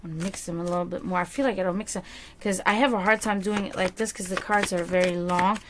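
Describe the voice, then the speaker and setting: A woman's voice: fairly low pitch, steady tone, quick pace, slight background noise. A woman whose first language is German, chatting casually on a livestream as a psychic card reader, rambling and answering her viewers. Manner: chatty, rambling, reads chat aloud.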